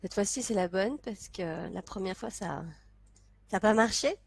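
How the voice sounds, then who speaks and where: A woman's voice: mid-range voice, moderate pace, creaky, slightly rough, no echo. A young woman chats casually to her camera, her nose blocked by a cold. She laughs easily and trips over her sentences. The sound is dry and close, with no room around it. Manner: chatty, laughs often, many restarts. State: congested from a cold. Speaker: vlogger.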